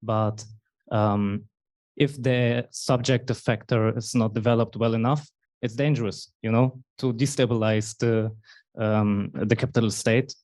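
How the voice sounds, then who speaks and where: A man asking a lecturer a question from the audience, speaking in a hesitant, halting way with small stutters. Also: mid-range voice, steady tone, darkish tone, dry recording.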